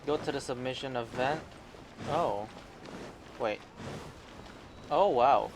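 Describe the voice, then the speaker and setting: Slow-talking man doing game commentary. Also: medium pitch, noisy background. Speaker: game streamer. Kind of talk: casual, off-the-cuff gameplay commentary.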